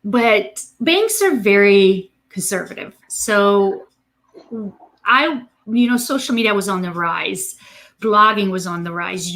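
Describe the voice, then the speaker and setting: A woman from Romania speaking as an interview guest, conversational and a bit halting as she repeats words while thinking. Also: mid-range voice, fairly bright tone. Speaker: interview guest, digital marketing agency president.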